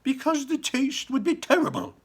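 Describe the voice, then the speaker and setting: A man's voice: very high voice, moderate pace, voice pushed up. A man voicing a breezy, eccentric chocolate factory owner from a storybook, exclaiming and reassuring. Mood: playful.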